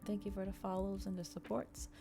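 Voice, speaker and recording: A woman doing a soft, unhurried ASMR reading of a novel, with small stumbles and restarts. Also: medium pitch, little pitch movement, gravelly. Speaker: streamer reading a novel aloud. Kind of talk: ASMR audiobook-style reading.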